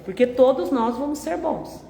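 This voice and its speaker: A Brazilian woman giving a gentle, conversational spiritist talk with a nasal, congested voice from a cold. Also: high voice, animated, moderate pace, a little hiss or hum. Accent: Brazilian Portuguese. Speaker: spiritist lecturer. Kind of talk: public talk with opening prayer. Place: large hall of a spiritist center. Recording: echoey hall with long reverb.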